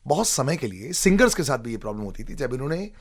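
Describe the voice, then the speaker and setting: Animated Indian male radio host. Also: mid-range voice, fast, slightly rough. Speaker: radio show host interviewing a guest. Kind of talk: conversational studio interview, mixing Hindi and English. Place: radio studio.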